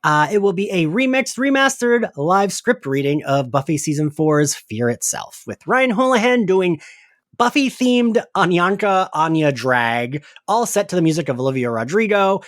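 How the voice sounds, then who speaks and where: A man's voice: high-pitched, lively intonation, normal speed, a bit bright, no echo. Casual male podcast host, close dry sound. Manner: conversational, frequent "like" and tag questions. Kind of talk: casual chat.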